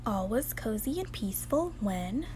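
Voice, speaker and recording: A woman's voice: fairly high pitch, very animated, normal speed. A young woman reading a children's story aloud expressively, in a home recording. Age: young adult. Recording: home recording with clear background noise.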